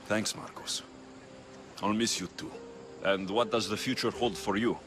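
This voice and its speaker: A man acting as a stoic young male mercenary for a video game. His voice sits low and he speaks tersely, holding feeling back. There is a world-weary gruffness with kindness under it. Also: steady tone, a little slow, background noise, natural voice. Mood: wry, fond. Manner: terse, restrained.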